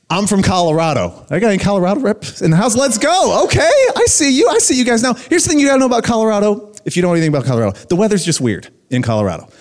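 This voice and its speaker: A man's voice: on the high side, a little mellow. American guy pastor giving a laid-back chatty sermon to students, jokey and slangy. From United States, Illinois. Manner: casual, joking, youthful slang. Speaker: guest speaker, pastor.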